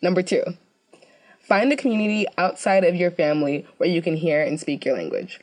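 Young woman co-host, low-pitched, rambling filler-heavy storyteller. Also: animated, a little fast, a little mellow, poor audio. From United States. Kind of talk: casual scripted web video talk.

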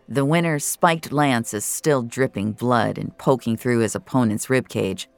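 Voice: medium pitch, measured pace, creaky, no room sound, soft background music. A narrator reading first-person audio fiction in a wry, colloquial way.

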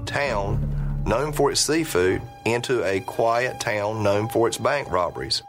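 Cop guy in a doc interview, lowish, punches each phrase, loud music under. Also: animated, slow. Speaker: law enforcement interviewee. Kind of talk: documentary interview.

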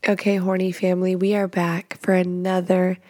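A woman's voice: lowish voice, monotone, normal speed, warm tone, dry recording, clean studio sound, faint music behind. A woman hosting a podcast alone, talking bluntly and profanely, stuffy and nasal from a cold, recorded in a small closet full of clothes. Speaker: podcast host. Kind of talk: casual solo podcast rant.

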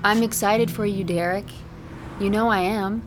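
A woman's voice: medium pitch, steady tone, moderate pace, lightly acted. Sardonic young girlfriend, played by an actress, music behind. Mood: cautious. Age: young adult. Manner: dry, sarcastic delivery. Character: sardonic, exasperated young girlfriend, practical and skeptical. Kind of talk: naturalistic audio drama dialogue.

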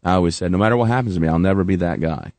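A man's voice: sits low, racing, darkish tone, no room sound. A man in his thirties, a veteran guest telling his story with self-mocking humor. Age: 30s. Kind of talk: joking then earnest interview talk.

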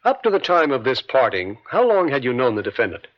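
An actor playing an insistent district attorney in an old radio drama, questioning in a deliberate, theatrical way. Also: male voice, mid-range voice, big pitch swings, close to an everyday voice. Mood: probing, pointed. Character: probing male district attorney, insistent and pointed. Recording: dull lo-fi vintage radio.

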